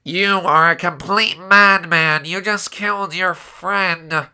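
Cartoon captive friend, emphatic, piercingly bright and crisp, timid underneath; male actor. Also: sits high, steady tone, takes it slowly, exaggerated voice. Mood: indignant. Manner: protesting, emphatic.

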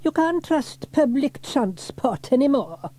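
A man's voice: extremely high pitch, very animated, takes it slowly, dark tone, voice pushed up, heavily acted. A man voicing a grumbling, nosy village shopkeeper woman in a children's storybook. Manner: grumbling, tart.

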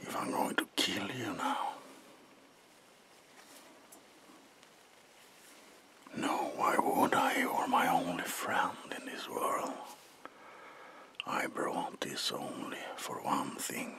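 A man playing a melancholy, paranoid vampire ghost of an asylum in a breathy, theatrical ASMR whisper, close to the mic. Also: sits low, animated, heavily acted. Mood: soft, soothing. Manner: slow, brooding, theatrical. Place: small dim indoor room.

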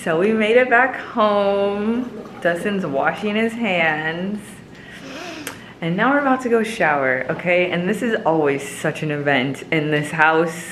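A woman's voice: lowish voice, a little slow, a bit bright, a little hiss or hum, poor audio. A woman vlogging from her home kitchen, chatting and rambling with frequent laughs. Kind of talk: casual chat to camera.

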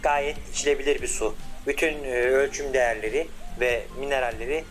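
A man's voice: mid-range voice, steady tone, brisk, faint music behind, natural voice. A man voicing an eager young male scientist who speaks respectfully to his older brother.

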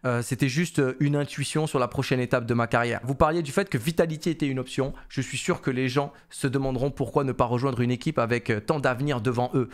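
A man, casually commentating on esports and paraphrasing an interview in an animated, colloquial, repetitive way into a close studio mic. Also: medium pitch, fast, few pauses. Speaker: esports commentator and content creator. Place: small home studio room indoors. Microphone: close boom-arm studio microphone.

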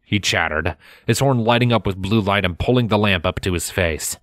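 A man reading scripted fiction as a narrator, measured and expressive in his storytelling. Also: lowish voice, no room sound, studio quality. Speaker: narrator of a fan fiction audiobook. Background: music.

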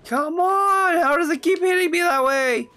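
A young man with a congested voice from a cold, casually commenting on his own gameplay and shouting out when his character gets hit. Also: very high-pitched, normal speed. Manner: chatty, reacting loudly to in-game hits. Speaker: gaming channel host.

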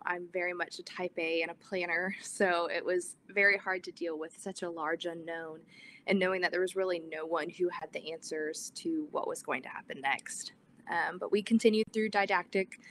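Young woman, earnest panel talk, lowish voice, faint background hum, video call. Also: a bit bright. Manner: earnest, some fillers. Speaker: nursing student.

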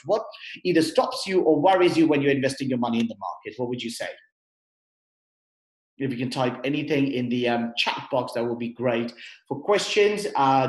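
A man giving a conversational webinar talk on investing, explaining with fillers and restarts over a computer mic. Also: medium pitch. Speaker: guest speaker at an investing webinar. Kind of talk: webinar presentation. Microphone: computer mic on a video call. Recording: roomy echo.

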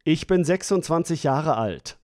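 A man reading short lesson phrases in a calm, measured way. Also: fairly high pitch, no room sound, studio quality. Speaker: language lesson speaker.

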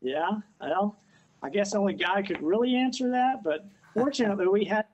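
Guest: unhurried man in his fifties, higher voice, plain and halting, remote from a porch. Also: dull audio. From Missouri. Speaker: dog owner, interview guest. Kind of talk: casual remote interview. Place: outdoors on a house porch.

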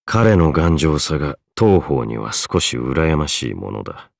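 A grave warrior hero for a game. The voice is extremely deep and gravelly, with slow, formal phrasing. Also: male voice, very animated, no echo, studio quality, full character voice. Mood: solemn. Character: stoic, solemn, formal armoured warrior hero. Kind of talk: game character voice lines.

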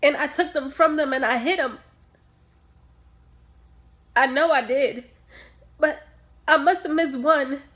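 A woman's voice: high-pitched, slow, pauses often, a bit bright, voice pushed up. A woman performing a dramatic monologue as a grieving, defensive mother, speaking in emphatic, pointed phrases. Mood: distraught. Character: grieving, defensive mother questioned about her sanity.

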